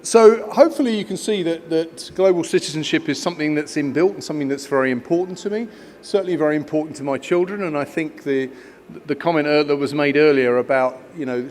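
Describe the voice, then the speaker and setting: A man's voice: on the high side, a little mellow, slight background noise. A man in his fifties, raised in Kenya, giving a conference speech with many fillers and restarts. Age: 50s. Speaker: guest speaker at an education conference.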